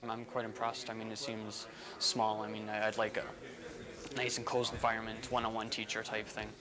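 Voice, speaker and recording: A man's voice: fairly low pitch, completely flat delivery, moderate pace. A young man, a prospective student, speaking hesitantly and stumbling over his words. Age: young adult. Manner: hesitant, repeating himself. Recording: distant from mic, crowd behind.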